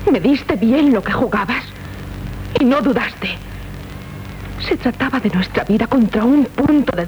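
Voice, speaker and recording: An actress playing a proud, grieving young noblewoman who demands justice, solemn and declamatory in a 1970s Spanish radio drama. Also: on the high side. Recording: muffled, noisy 1970s radio tape.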